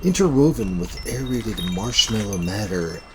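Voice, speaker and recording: A man's voice: fairly low pitch, sing-song, moderate pace, background noise. A man playing a pedantic, unflappable scientist in a sci-fi audio sitcom, with pompous, precise, clinical phrasing. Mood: clinical fascination.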